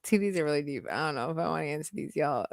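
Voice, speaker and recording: A woman's voice: low-pitched, animated, gravelly, no echo. Giggly lady host reading question cards, hums a lot, dead dry sound. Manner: giggly, thinks aloud with drawn-out hums. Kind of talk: casual chat reading out party questions. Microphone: video call.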